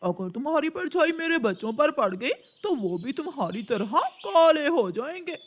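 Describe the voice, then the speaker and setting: Woman doing a mocking cartoon crow, male bully, loud, scornful, animated high voice, faint noise behind. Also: moderate pace.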